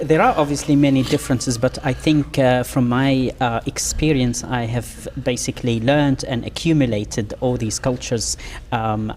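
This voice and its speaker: Measured academic man from Morocco. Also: medium pitch, darkish tone, long reverb tail, a little hiss or hum. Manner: measured, many "uh" pauses. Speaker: professor guest. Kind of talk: academic interview answers.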